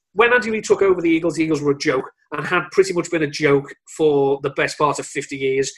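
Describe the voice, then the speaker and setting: Wry man with a slightly high voice. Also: rough recording. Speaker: podcast co-host or guest. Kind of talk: casual sports chat.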